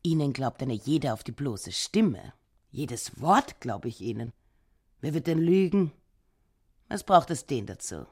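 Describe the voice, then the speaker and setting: An animated, smitten customer. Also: female voice, very deep voice, a little slow, breathy edge, full character voice. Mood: insistent. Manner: emphatic, folksy. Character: smitten, plain-spoken, stubborn female furniture customer. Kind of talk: radio play acting.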